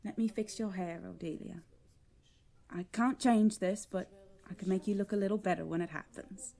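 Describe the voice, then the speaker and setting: A woman's voice: mid-range voice, fairly even intonation, moderate pace, creaky, warm tone. A woman playing a kindly, proper servant to royalty in a fantasy audio drama, soothing and folksy in manner. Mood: reassuring.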